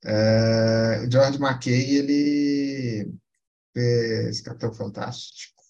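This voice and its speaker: A man hosting a quiz on a casual podcast, playful and teasing, reading out film credits in halting bits. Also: medium pitch, fairly even intonation, creaky, dark tone, muffled recording.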